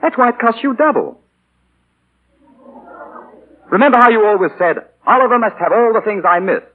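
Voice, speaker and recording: An actor playing a cocky, charming young spendthrift gentleman, all glib banter in 1940s radio style. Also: high-pitched, quick pace. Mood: breezy, flattering. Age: young adult. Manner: glib, breezy upper-class banter. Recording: muffled vintage 1940 radio broadcast.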